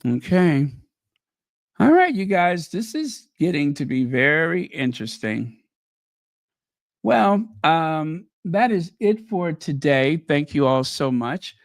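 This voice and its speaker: Slow, chatty man hosting a livestream. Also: a little high, very animated, dry recording, clean studio sound. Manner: chatty and musing, thinking aloud with many ums. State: losing his voice. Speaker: channel host. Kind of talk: casual livestream chat.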